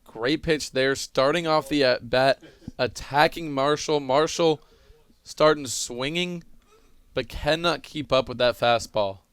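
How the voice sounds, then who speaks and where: A man's voice: mid-range voice, slow pace, a bit bright, clear, resonant tone, no echo. A man giving analytical, explanatory color commentary on a live baseball broadcast.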